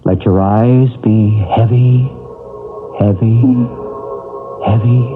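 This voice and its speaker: A man playing a calm hypnotist and paranormal expert, speaking softly and measuredly in a radio drama. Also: on the low side, over music. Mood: soothing. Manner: soft, soothing, measured. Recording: tinny old radio broadcast.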